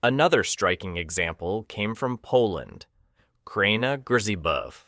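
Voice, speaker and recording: A man narrating a documentary from a script, with a measured, ominous delivery. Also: on the low side, big pitch swings, a bit bright, no echo, clean studio sound.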